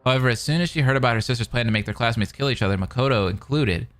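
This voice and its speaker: Guy reading visual novel narration out loud, average pitch, informal reaction streamer style. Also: very fast. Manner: informal, slangy asides. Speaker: reaction video host.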